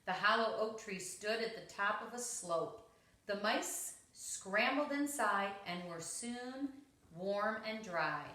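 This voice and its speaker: A woman's voice: medium pitch, lively intonation, takes it slowly, bright, forceful tone. A woman reading a children's story aloud, expressively and with dramatic emphasis. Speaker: storybook reader. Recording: roomy, echoing home recording.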